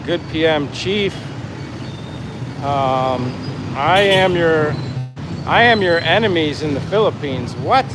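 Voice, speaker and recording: Higher-voiced man livestreaming over outdoor noise. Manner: effusively thankful and friendly, addressing viewers directly. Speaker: livestream host. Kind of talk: casual livestream chat while walking, reading out viewer comments. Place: outdoors on the move, near traffic. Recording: clear outdoor traffic and engine noise.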